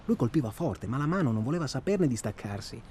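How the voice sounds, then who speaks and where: A man's voice: mid-range voice, very animated, fast, darkish tone, close to an everyday voice. A man acting in a radio drama as a well-spoken, self-absorbed hunting traveller who talks insistently and at length. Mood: hushed, reflective. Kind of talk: naturalistic radio drama dialogue. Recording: clear ambient noise behind.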